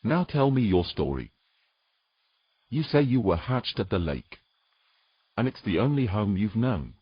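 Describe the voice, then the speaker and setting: Male actor as fantasy knight, husky, lively pitch movement, grumbling dry wit, audio drama, dry muffled recording. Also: measured pace, exaggerated voice. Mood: curious, gentle. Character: weary, gruff male knight with dry teasing humour.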